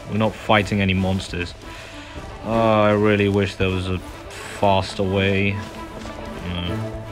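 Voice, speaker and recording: A man gives relaxed, casual commentary on a video game. His voice is low and he often mutters to himself, while game music plays clearly behind him. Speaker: gaming video host.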